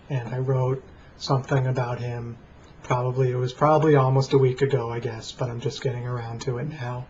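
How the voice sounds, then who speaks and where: An American man rambling casually and deadpan to camera in a plain, flat voice. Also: mid-range voice. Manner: deadpan, dry. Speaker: vlogger. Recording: dull lo-fi webcam sound, faint noise.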